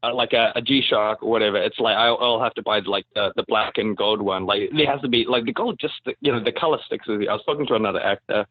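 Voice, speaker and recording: A man's voice: lowish voice, fast talker, hardly any pauses. A man from New Zealand with a New Zealand accent, a guest actor chatting casually in an interview, full of fillers and easy laughs. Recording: tinny phone-like line, very dry.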